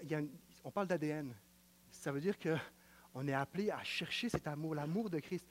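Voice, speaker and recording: A man with a Quebec French accent preaching a sermon in an emphatic, repetitive style, swinging between loud declaiming and quiet, close talk. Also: a little high.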